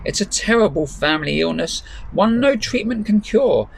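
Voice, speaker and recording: A man's voice: sits high, expressive, moderate pace, vocal fry, slight background noise, pitched above the performer's own voice, poor audio. A man voicing a doting, teasing elderly grandmother in a storybook, affectionate and playful.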